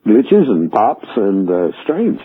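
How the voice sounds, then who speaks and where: A man's voice: lowish voice, huge pitch swings, slow, slightly rough. A middle-aged or older man calling a radio show, telling a vivid story in emphatic, clipped phrases. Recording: tinny, narrow phone line.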